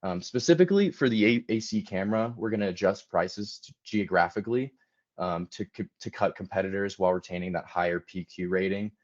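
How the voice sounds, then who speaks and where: A man's voice: deep voice, brisk. A man giving a prepared business presentation as a presenter, somewhat hesitant with frequent ums. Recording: dull lo-fi audio.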